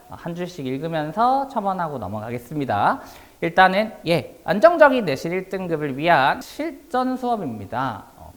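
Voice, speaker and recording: Guy teacher presenting his class, bit hoarse, polite and explainy, really up and down intonation. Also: fairly high pitch. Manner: polite, explanatory, formal Korean speech. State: slightly hoarse, voice worn out. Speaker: math academy instructor.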